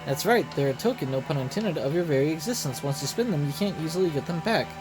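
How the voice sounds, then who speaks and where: A man voicing a worldly casino-goer in a video game, explaining gambling dangers in a measured, cautionary way over music. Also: on the high side, animated, close to an everyday voice. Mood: grave, cautioning. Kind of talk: reading game story dialogue aloud. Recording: loud game music behind the voice.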